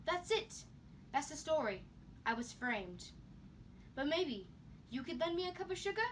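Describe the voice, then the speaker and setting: A woman's voice: sits high, big pitch swings, normal speed, recorded from a distance, a little hiss or hum. A woman voicing a sly male wolf who insists he was framed, telling his side like a storybook. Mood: wry.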